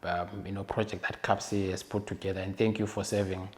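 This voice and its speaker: Man, podcast host, lowish voice, hesitant, halting questions. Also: flat delivery. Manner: warm welcoming, hesitant with fillers and restarts. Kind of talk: interview hosting.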